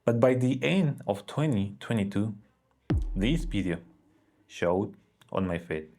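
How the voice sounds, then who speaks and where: Low-voiced man telling his own story. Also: animated, unhurried, a little hiss or hum. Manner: earnest and reflective. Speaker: vlogger. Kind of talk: personal story narration. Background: soft guitar music.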